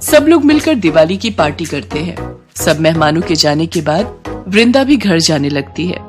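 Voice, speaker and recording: A woman's voice: deep voice, big pitch swings, moderate pace, music in the background. A woman reading scripted narration for a moral story as the storyteller.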